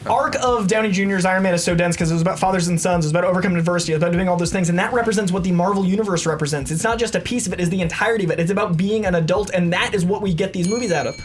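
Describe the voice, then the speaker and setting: A man's voice: high voice, racing, hardly any pauses. A man competing in a casual debate show, arguing emphatically and with earnest conviction over silly points. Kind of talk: fast, casual competitive argument.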